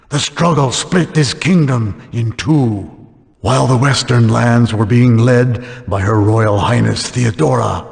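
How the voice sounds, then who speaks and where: Gravelly, solemn game narrator, in reverb. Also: male voice, mid-range voice. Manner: solemn, measured. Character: solemn storyteller of a dying fantasy world. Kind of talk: scripted narration for a video game. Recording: long hall-like reverb.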